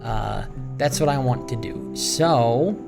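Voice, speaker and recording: A man's voice: medium pitch, lively intonation, slow pace, vocal fry. Rambling man narrating his own gaming video. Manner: rambling, musing, self-talking. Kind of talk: casual Let's Play commentary. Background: game music.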